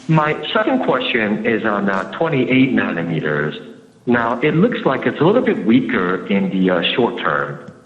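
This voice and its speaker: A male analyst puts questions on a conference call in a fairly low voice with animated intonation. His phrasing is tentative and full of hesitation. The line is dull and echoes heavily. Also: moderate pace. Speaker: financial analyst caller. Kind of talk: asking questions on an earnings call.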